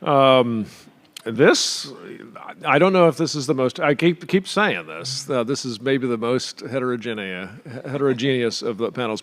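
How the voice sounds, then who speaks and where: A man moderates a conference panel, introducing the speakers in a loose, joking way. He stumbles and repeats words as he goes, with fillers in between. His voice sits at a middle pitch for a man. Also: a bit bright. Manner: joking, halting, repeats himself. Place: conference room.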